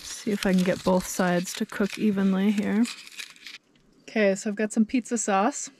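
A woman's voice: mid-range voice, steady tone, moderate pace, a little mellow. Woman in her 30s chatting while she cooks in her minivan, upbeat, camera in the van, faint outdoor hum behind. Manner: chatty and cheerful, thinking aloud while cooking. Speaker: van camping vlogger. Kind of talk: casual cooking vlog. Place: inside a parked minivan camper. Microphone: camera mounted in the van.

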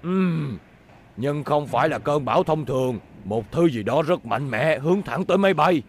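A man voicing a kindly, calm elderly scientist in a cartoon dub. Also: on the high side, normal speed, slightly rough. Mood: fatherly. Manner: calm, fatherly and explaining. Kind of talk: cartoon dubbing. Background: music score.

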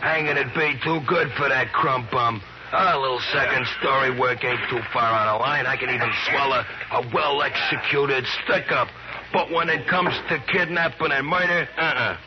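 A man's voice: mid-range voice, moderate pace, lightly acted. An American man playing a chummy, shifty streetwise crook and informant, theatrical and slangy, in 1950s radio style. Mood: indignant, candid. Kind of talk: 1950s radio character acting. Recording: muffled, noisy 1950s radio recording.

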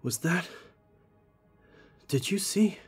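A man playing a shaken, earnest young policeman, halting and breathless. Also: high voice, expressive, husky, faint music behind, exaggerated voice. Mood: shocked, breathless.

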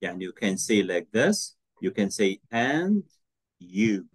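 A man teaching an English lesson over a video call, slow and patient, encouraging his student and repeating phrases. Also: on the low side, very animated, breathy edge, dry recording. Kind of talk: online one-to-one English lesson.

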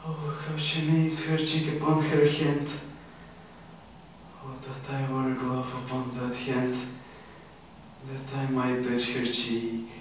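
A man's voice: mid-range voice, flat delivery, pitched above the performer's own voice, natural voice. A young man playing a lovestruck, impulsive youth in a theatrical Shakespearean stage monologue. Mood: impassioned. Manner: theatrical, slow, declamatory. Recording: very echoey room, distant microphone, hiss.

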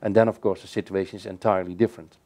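A middle-aged man, answering panel questions in a measured, explanatory way with frequent restarts. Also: low-pitched, fairly even intonation, a little mellow. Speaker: keynote speaker. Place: bright room by large windows over a canal.

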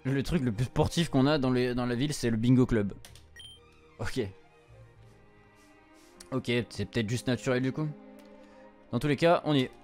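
Young male streamer, informal and halting, translating a game aloud, music underneath. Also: mid-range voice, a little mellow. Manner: informal, hesitant, stumbling while translating on the fly. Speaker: streamer, let's-play host. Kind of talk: casual playthrough commentary, translating game text aloud. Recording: music behind the voice.

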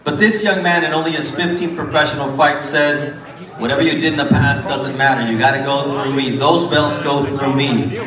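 A man's voice: on the high side, steady tone, normal speed. A man introducing an award winner at a dinner, building up with rising emphasis. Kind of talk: award presentation speech. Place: large banquet hall at an awards dinner. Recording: echoey hall from far off with crowd.

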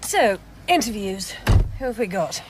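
An actress playing a bossy, sardonic documentary film-maker in a radio drama, curt and dryly scornful. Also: female voice, medium pitch, big pitch swings, unhurried, noisy background. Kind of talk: naturalistic radio drama acting.

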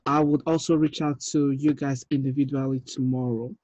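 A man's voice: mid-range voice, level tone, brisk, darkish tone, no room sound. A man in his thirties chatting casually as a film director on a video call. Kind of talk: casual chat. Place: room at home, video call. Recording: dull video call audio.

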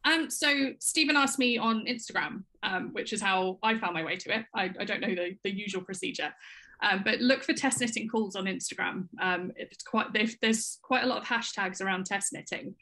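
A young woman guest on a casual video call over a laptop mic, soft-spoken and hesitant with many fillers. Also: medium pitch, normal speed. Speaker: guest test knitter. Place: home room indoors. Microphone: laptop webcam mic.

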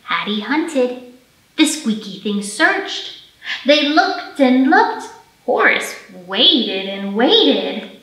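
A woman's voice: high voice, big pitch swings, drawn-out words, fairly bright tone. A woman in her thirties hosting a children's storytime as a librarian, speaking expressively and directly to young children. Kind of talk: children's storytime reading and presenting. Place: indoor library room, pre-recorded for online storytime. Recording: roomy echo.

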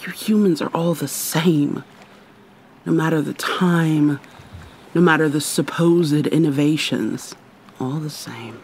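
A woman's voice: low voice, takes it slowly, a little mellow, a little hiss or hum. A woman playing an irritable, haughty but secretly caring vampire mermaid in an ASMR roleplay, sardonic and formal with exasperated sighs.

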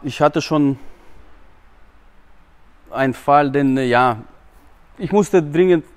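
A man in his thirties, a team leader speaking in an earnest, halting way with uneven emphasis, recorded in a large warehouse. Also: a little high. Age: 30s. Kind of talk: corporate video interview.

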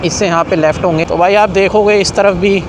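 A young man gives casual moto-vlog commentary from a moving scooter. He raises his slightly high voice over clear street and vehicle noise. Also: steady tone, a little fast. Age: young adult. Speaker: vlogger. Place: on a moving scooter on city roads at night. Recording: noisy street and vehicle ambience.

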